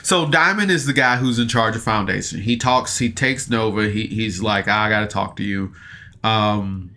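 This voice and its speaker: Bright-voiced man rambling through a casual review. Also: lowish voice, moderate pace. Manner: rambling, hesitant, often backtracking and rephrasing. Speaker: podcast reviewer.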